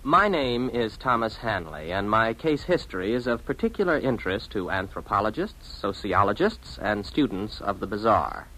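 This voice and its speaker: A man's voice: fairly low pitch, sing-song, normal speed, few pauses, pushed and bright, exaggerated voice, low-quality recording. An actor with an American accent playing a wry, mock-formal businessman narrating his own story in a 1950s radio drama. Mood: wry, self-aware.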